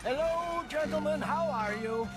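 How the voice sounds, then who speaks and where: An actor playing a theatrical, smooth-talking American outlaw con man, florid and wheedling, in a western video game. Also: very high-pitched, a little slow. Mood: smooth, persuasive. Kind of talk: video game cutscene acting. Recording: music behind the voice.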